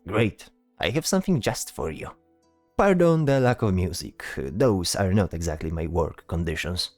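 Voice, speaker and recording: A man voicing a polite, hesitant bard in a fantasy audio drama, speaking in a halting, showy, courteous way. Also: low voice, lively intonation, darkish tone, no echo, faint music behind. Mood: sly, suggesting. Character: chatty, polite, hesitant male bard spellcaster.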